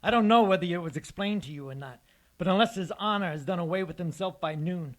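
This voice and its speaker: An actor playing a polite, menacing, unhinged escaped convict in a radio detective drama, speaking with calm precision. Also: male voice, high voice, fast talker, natural voice. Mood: politely menacing.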